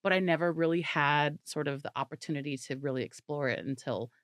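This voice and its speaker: Brief: woman comedian guest, low voice, animated and chatty, dry close sound. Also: brisk, no room sound. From California Bay Area. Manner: animated, chatty, laughs often. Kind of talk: casual interview chat.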